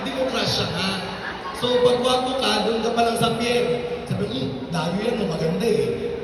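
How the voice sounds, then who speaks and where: A man with a Filipino accent performing amateur stand-up comedy with deadpan setups and punchlines. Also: high voice, moderate pace, a crowd in the background. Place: large reverberant hall. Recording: echoey hall, far off, very noisy.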